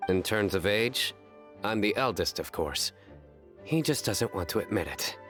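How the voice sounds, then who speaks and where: A low, deadpan stern young man. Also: measured pace. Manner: deadpan and terse. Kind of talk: video game voice acting. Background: game music.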